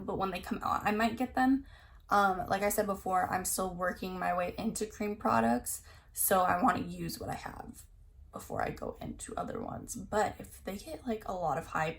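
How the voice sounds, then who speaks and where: A young woman vlogging about makeup in a casual, rambling way, her voice slightly scratchy and worn. She leans on "like" constantly and switches between reading product descriptions and offering her own opinions. Her pitch sits in an ordinary middle range. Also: gravelly. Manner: rambling, full of "like", reads product blurbs aloud. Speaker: beauty vlogger. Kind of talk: casual chat reviewing new makeup releases.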